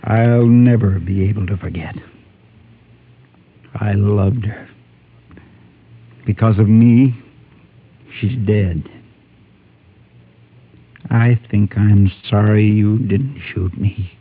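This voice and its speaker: An actor playing a sorrowful, guilt-ridden western preacher, husky and halting, in a vintage radio drama. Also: male voice, on the low side, heavily acted. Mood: anguished, remorseful. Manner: slow, halting, heavy. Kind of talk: 1950s radio western acting. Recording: muffled, thin vintage radio recording.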